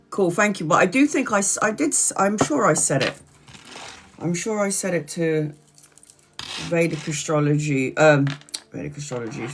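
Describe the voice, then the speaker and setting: A woman's voice: fairly low pitch, lively intonation, measured pace, pauses often, soft background music. A congested woman tarot reader chatting casually on her livestream, tired and rambling.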